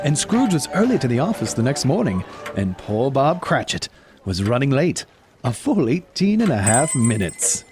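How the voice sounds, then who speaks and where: A man's voice: mid-range voice, very animated, moderate pace, a little mellow, faint music behind. A man narrating a scripted audio drama as a storyteller.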